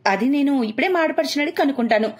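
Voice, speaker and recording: A woman voicing a chatty, showy young female friend in a moral story, animated and persuasive. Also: high-pitched, rapid-fire, pitched above the performer's own voice. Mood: eagerly persuasive.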